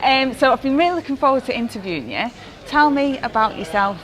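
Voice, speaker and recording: A woman's voice: high-pitched, animated, fast. Woman doing a casual interview.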